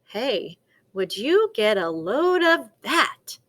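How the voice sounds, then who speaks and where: An excited, hungry sailor in a children's storybook, acted out by a woman, exclaiming in amazement. The pitch is high and swings widely. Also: slow pace, full character voice. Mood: amazed.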